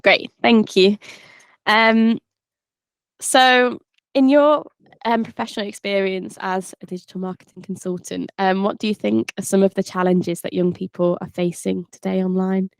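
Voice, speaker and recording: Smooth-voiced woman interviewer. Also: medium pitch, level tone, no echo. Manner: polite, measured questions with brief fillers. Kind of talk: interview questions.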